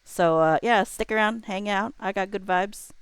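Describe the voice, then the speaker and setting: A woman's voice: mid-range voice, normal speed, clean tone, no room sound. A woman livestreamer chatting casually with her viewers, thinking aloud in a laid-back, slangy, informal way. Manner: informal, laid-back, slangy, muttering to self while working. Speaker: livestreamer hosting a chatbot roleplay stream.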